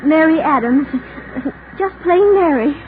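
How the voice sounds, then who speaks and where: A woman's voice: high-pitched, expressive, a little slow, narrow, tinny audio, a little hiss or hum. An actress playing a shy, flustered single woman being courted, hesitant and coy, in 1940s radio style. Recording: thin 1940s radio recording.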